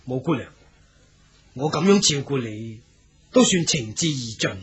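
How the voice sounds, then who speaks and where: An actor playing an earnest, proud young wandering swordsman in a radio drama, speaking in a theatrical, deliberate way. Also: mid-range voice, lively intonation, natural voice. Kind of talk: Cantonese radio drama acting.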